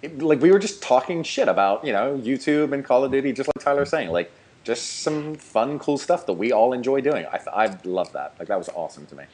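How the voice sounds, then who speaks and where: A man's voice: mid-range voice, expressive, brisk, darkish tone, husky. A man co-hosting a gaming podcast, talking casually in an informal, slangy way. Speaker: podcast co-host, gaming YouTuber. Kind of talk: casual chat.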